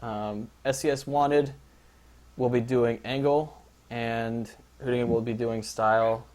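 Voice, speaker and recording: Casting: young guy, mid-pitched, loose and rambling, jokey league organizer doing a casual stream briefing. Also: steady tone, unhurried, a little mellow. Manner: rambling, filler-heavy, joking.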